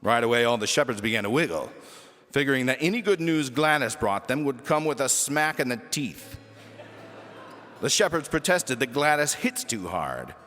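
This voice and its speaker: A man reading a children's story aloud as lively scripted narration. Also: medium pitch, moderate pace. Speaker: storybook reader. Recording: echoey hall reverb with music.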